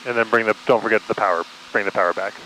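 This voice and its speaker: A man working as a flight instructor, explaining hands-on in a relaxed, casual way over an aviation headset. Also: lowish voice, rapid-fire, slight background noise, rough recording. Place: inside small aircraft in flight. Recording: cockpit intercom with engine drone.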